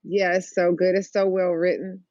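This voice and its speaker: A woman's voice: on the low side, little pitch movement, moderate pace, darkish tone, no room sound. A woman chatting casually as a podcast guest over a dull, lo-fi connection.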